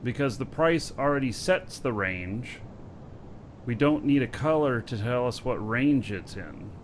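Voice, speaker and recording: A man hosting a game livestream, reading viewer questions and giving practical answers in a relaxed way. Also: mid-range voice, a little slow, slight background noise. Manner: relaxed, practical, offering suggestions. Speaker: stream host.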